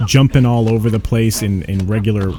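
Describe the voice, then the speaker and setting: Laid-back man with a lowish voice. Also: a little fast, crowd noise. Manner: easygoing, narrates his moves in the game. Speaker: co-player on the stream. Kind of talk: casual gaming chat. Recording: noticeable background noise.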